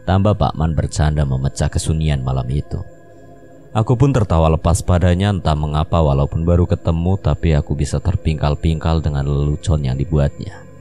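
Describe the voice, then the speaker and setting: A man narrating a mystery story in the first person, calm storytelling. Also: rumbling low, normal speed. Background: faint music.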